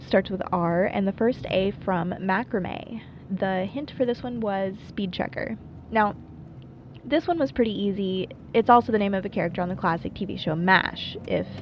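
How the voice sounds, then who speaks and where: A woman's voice: mid-range voice, lo-fi sound, slight background noise, lightly acted. A woman playing a wry, playful small-town late-night radio host in a scripted monologue, chatty and dryly self-deprecating. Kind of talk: scripted radio show monologue, audio roleplay.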